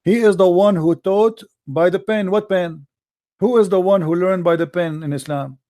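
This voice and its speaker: A man's voice: high-pitched, normal speed, dark tone. A middle-aged man with an Arabic accent, a native Arabic speaker, hosting a heated religious debate and pressing his points with repeated phrases. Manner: insistent, lecturing, repeats points. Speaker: host.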